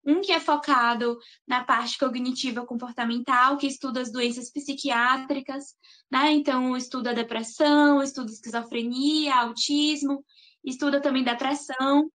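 Young woman, high voice, chatty, keeps adding little tag questions. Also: a bit bright. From Salvador, Bahia, Brazil. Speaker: guest, master's student. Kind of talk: interview answers.

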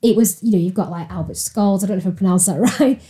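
A woman's voice: mid-range voice, quick pace, warm tone. A young woman from Manchester with a Mancunian accent, chatting as a podcast guest in a rambling way. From Manchester, England. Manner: chatty, rambling, filler-heavy. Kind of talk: casual chat.

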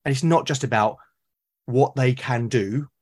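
A man from Britain, a podcast guest, talking eagerly about his characters, with lots of restarts and a slightly husky voice. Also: medium pitch, a little slow, darkish tone. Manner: enthusiastic, rambling with many restarts. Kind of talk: casual podcast conversation.

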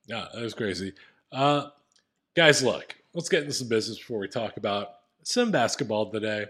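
A man with a deep voice co-hosting a podcast, laid-back and reading out listener reviews. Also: lively intonation, moderate pace, a bit bright, slightly rough. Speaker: podcast co-host. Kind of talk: casual comedic chat.

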